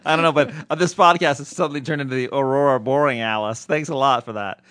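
A man's voice: medium pitch, quick pace, no room sound. A man hosting a podcast, bantering casually and laughing often. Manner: laughs often, jokey and animated. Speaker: podcast host.

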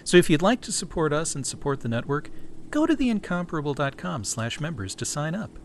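A man's voice: a little high, big pitch swings, a little fast, noisy background. A man hosting a podcast, reading a cheerful membership appeal. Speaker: podcast host.

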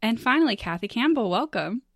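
A woman's voice: on the high side, very animated, fairly quick, a bit bright, no room sound, clean studio sound. A woman hosting a casual panel game, chatty and jokey, often laughing as she explains and runs things. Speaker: game show host.

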